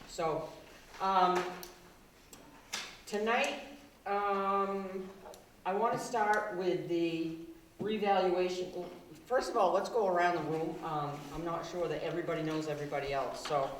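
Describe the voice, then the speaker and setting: A woman who is the town manager, running a public workshop in a loud, projecting, self-assured voice. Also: on the low side, steady tone, unhurried. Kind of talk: public meeting talk. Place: town meeting room. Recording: echoey hall, far from mic, faint noise.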